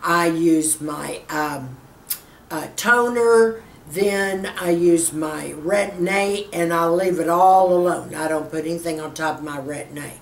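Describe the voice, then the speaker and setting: A woman's voice: lowish voice, recorded from a distance. A woman in her fifties with a Texas accent, chatting to her viewers in a skincare Q&A and calling them girlfriend. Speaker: skincare vlogger. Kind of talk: casual Q&A to camera. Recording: echoey room, off-mic, faint hum.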